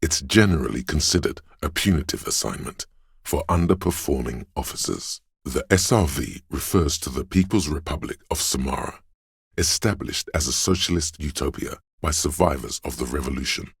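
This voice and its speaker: A man voicing a learned inner voice in a video game, reciting obscure facts in a measured, lecturing, gravelly way. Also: very deep voice, animated, no echo, clean studio sound, heavily acted. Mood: measured, erudite. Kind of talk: video game scripted narration.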